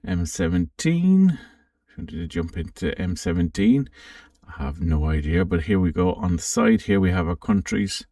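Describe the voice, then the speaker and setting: Deep, creaky-voiced man in a roomy space. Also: expressive, moderate pace, warm tone, studio quality. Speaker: amateur radio channel host. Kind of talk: casual software walkthrough. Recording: roomy, distant microphone.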